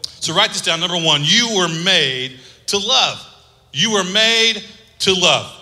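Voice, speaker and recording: A pastor delivers a sermon in a voice that rings very bright, slightly high for a man. He calls on listeners to repeat words and drops small jokes. The sound carries a long reverb from a large hall. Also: lively intonation, measured pace. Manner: preaching with call-and-response and humor.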